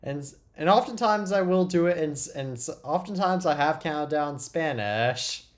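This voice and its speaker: A man's voice: on the high side, expressive, normal speed. A teenage boy with a cold hosting a casual tech podcast, chatting and often restarting his sentences. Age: teens. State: sick with a cold. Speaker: podcast host.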